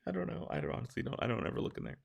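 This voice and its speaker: Man, tired, hoarse, gravelly, a bit high-pitched, casual stream chatter, answers questions from chat. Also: lively intonation, very fast. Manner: chatty, reads out viewer questions and answers them.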